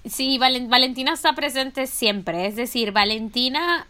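A woman's voice: high-pitched, normal speed. A woman with a Maracaibo Venezuelan accent, chatting and joking casually as a podcast co-host. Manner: animated, joking, rambling.

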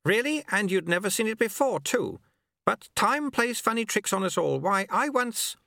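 A man voicing a chatty, excitable old monk mentor who fusses and exclaims as he goes. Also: high-pitched, normal speed, vocal fry, no echo, studio quality, pitched above the performer's own voice, heavily acted. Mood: curious, concerned. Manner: exclamatory, fussy, rambling.

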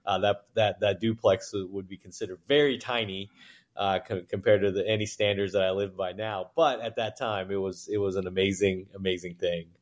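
Relaxed low-voiced man telling stories. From born in China, raised in Houston, Texas. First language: Chinese. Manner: relaxed, halting, with many fillers and restarts. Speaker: guest, private equity managing partner. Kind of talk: interview storytelling.

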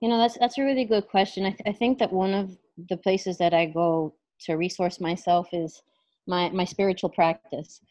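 A woman's voice: lowish voice, steady tone, normal speed, darkish tone. A woman who is a clinical psychologist and life coach, speaking as an interview guest in a thoughtful, casual way, full of fillers and restarts. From New York, USA.

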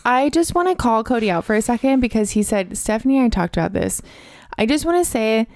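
A woman's voice: fairly high pitch, animated, quick pace, a little mellow, a little hiss or hum. A young adult woman co-hosting a podcast in casual chat, teasing and laughing often. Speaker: podcast co-host.

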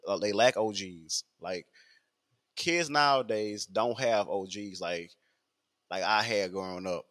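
A man's voice: on the low side, slow pace, fairly bright tone. A man, talking in a rambling casual podcast monologue full of fillers and repeats. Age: 20s.

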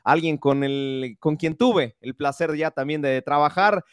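Young man, podcast host, chatty, hesitant fillers, slightly high voice, dry close sound. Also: a little slow, smooth, no room sound. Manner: warm, chatty, frequent hesitations. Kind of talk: casual interview hosting.

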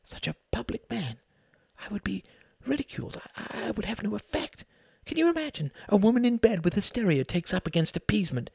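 A man performs a frail, bitter mother from an audiobook, her voice mostly a breathy whisper that climbs thin and high when she pleads. The sound is dry and close, with a rough, husky grain under every word. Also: sing-song, a little fast, darkish tone, no echo, heavily acted. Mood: shrill pleading. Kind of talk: audiobook character voice.

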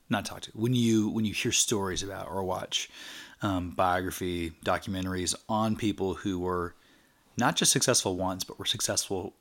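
A man's voice: low voice, fairly bright tone. A man co-hosting a podcast in casual chat, talking with energy and rambling through fillers. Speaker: podcast co-host.

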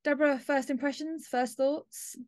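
A woman's voice: high voice, fairly even intonation, moderate pace, a little mellow, no echo. A British woman hosting a podcast in a conversational discussion about the arts. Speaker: podcast host.

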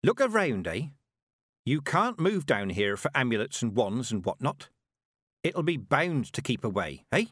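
A man's voice: medium pitch, sing-song, unhurried, fairly bright tone, slightly rough, no room sound, studio quality, full character voice. A man voicing a stern, proud old woman witch who talks in a cold, clipped, commanding way. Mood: brisk.

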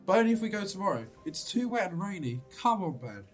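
A man's voice: high voice, big pitch swings, fast talker, faint music behind. A young man acting a cautious, reluctant, hesitant friend in a radio drama. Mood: concerned.

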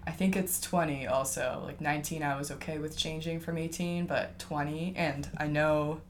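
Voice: medium pitch, steady tone, normal speed. Chatty young interviewer, mid-range voice, asks personal questions, faint background noise. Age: 20s. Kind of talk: casual conversation.

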